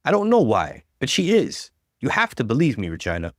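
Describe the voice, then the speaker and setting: A man's voice: mid-range voice, wildly swooping pitch, brisk, no room sound, clean studio sound, pitched above the performer's own voice. Man acting an emphatic, desperate accused husband. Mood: desperate pleading. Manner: emphatic, protesting. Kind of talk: dramatic story reading.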